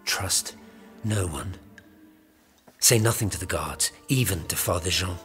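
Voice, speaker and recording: Sly canon, false confidant, low voice, hushed insinuating manner, formal, audio drama, strong musical backing. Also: male voice, measured pace, soft background music. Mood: falsely soothing. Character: sly male cathedral canon feigning kindly confidant.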